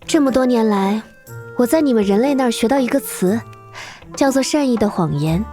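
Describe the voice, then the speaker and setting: A woman voicing a dignified, world-weary female chief of a beast-folk tribe in an animated fantasy, measured and grave. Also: fairly high pitch, soft background music, lightly acted. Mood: calm, composed. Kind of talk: animated fantasy drama acting.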